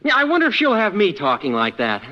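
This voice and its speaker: An actor playing an eager, polite, naive young husband in a 1950s radio comedy, boyish and earnest. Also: male voice, sits high, wildly swooping pitch, fast talker. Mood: earnest, bemused. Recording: muffled 1950s radio recording.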